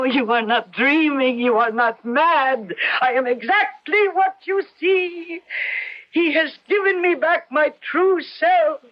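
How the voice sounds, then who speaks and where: A woman playing a vain, nervous and defiant former ballerina of fifty in a radio drama, theatrical and intense. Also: high-pitched. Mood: exultant. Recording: dull old radio recording.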